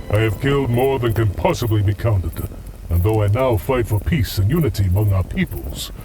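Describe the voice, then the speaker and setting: Actor as a stately, low alien warrior leader. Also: male voice, poor audio. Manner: formal, stately, measured oratory. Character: noble, weary alien warrior leader, honorable and solemn. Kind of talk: science fiction audio drama acting.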